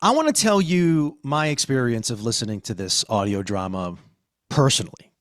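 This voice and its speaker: An American man hosting a podcast, presenting emphatically with dramatic pauses on key words. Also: mid-range voice, animated, very noisy. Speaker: podcast host. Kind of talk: scripted podcast presenting and discussion.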